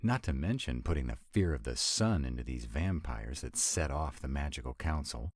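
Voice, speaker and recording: Vampire lover, played by a male actor, romantic audio drama: extremely low voice, dry close sound, sardonic, exasperated, affectionate. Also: expressive, normal speed, no echo. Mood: exasperated ranting. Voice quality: very deep voice. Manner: sardonic, exasperated, intimate, swooping emphasis. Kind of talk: romantic roleplay audio acting.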